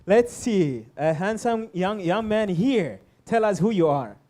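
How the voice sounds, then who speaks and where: A man's voice: high voice, expressive, measured pace, dark tone. A man hosting a panel conversation, encouraging and friendly as he asks his guests questions. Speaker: host.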